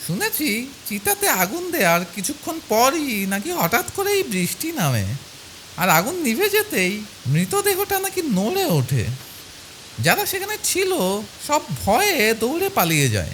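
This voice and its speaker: Someone voicing young friends in a lively, uneasy horror cartoon. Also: high voice, a little slow, a little hiss or hum, full character voice. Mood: hushed, eerie. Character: two young male friends, frightened and uneasy. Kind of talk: dramatised dialogue in animated horror story.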